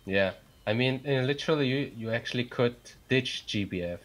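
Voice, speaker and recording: A man's voice: medium pitch, moderate pace. Male co-host, chatty and inquisitive, teasing tone, mid-range voice. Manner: curious, teasing, asks lots of questions. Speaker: podcast co-host. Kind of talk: casual gaming chat.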